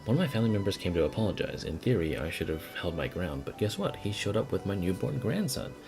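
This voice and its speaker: A man voicing a cheerful, bossy old man's letter in a video game, in a brisk and breezy manner. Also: low-pitched, sing-song, vocal fry, music in the background, heavily acted. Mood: breezy, pleased.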